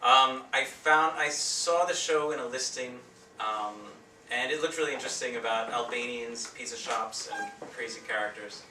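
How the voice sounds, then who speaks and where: A man's voice: mid-range voice, fairly even intonation, moderate pace, bright, forceful tone. A man, a stage actor, answering interview questions in a joking, rambling way. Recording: echoey room from far off, noisy.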